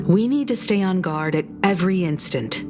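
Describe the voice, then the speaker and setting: A woman's voice: on the low side, expressive, normal speed, natural voice. A woman playing a serious, vigilant, level-headed ally in a video game, composed and cautioning. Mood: calm, wary. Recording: loud music behind the voice.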